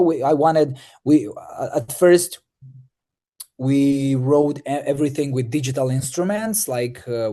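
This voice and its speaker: Young man, mid pitch, halting answers, frequent uhs. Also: dark tone. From Moldova. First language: Romanian. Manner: hesitant, many fillers and restarts. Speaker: Eurovision artist. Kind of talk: interview answers.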